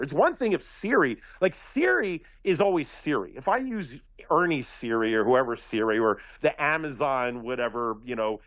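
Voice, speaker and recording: A man from Philadelphia talking in a casual radio chat, rambling and animated, with many restarts. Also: mid-range voice, dry recording, highs cut off.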